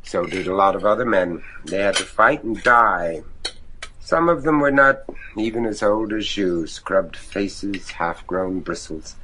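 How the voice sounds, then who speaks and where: An actor playing an earnest, courteous American judge in a gothic radio drama, speaking in a measured, halting way. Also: male voice, low-pitched, lively intonation, sharp tone, a little hiss or hum, heavily acted, rough recording. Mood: wistful, grave.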